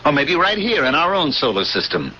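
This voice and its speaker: A man's voice: a little high, wildly swooping pitch, a little fast, pushed and bright, highs cut off, a little hiss or hum, heavily acted. An actor playing a weary, restless male space freighter pilot in a 1970s radio drama, earnest and pleading, then curt. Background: music.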